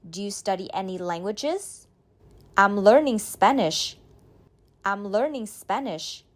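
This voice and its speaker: A woman reading a beginner's speaking drill, saying each line slowly and clearly, then repeating it. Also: medium pitch, lively intonation. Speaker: model speaker in a language drill. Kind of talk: slow scripted drill reading.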